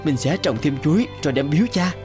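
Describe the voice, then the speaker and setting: An actor playing a humble, dutiful young peasant son in an animated folk tale, mild and sincere, with music behind. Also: male voice, a little high, fast talker, natural voice. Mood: earnest, polite.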